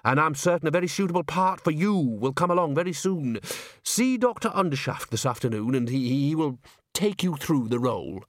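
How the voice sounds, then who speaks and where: A man's voice: on the high side, animated, fairly quick, dry recording, clean studio sound, pitched above the performer's own voice, natural voice. Man putting on a stammering, jovial bumbling boss. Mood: awkwardly jovial. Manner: stammering, hemming and hawing. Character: bumbling, hesitant, jolly male opera house owner.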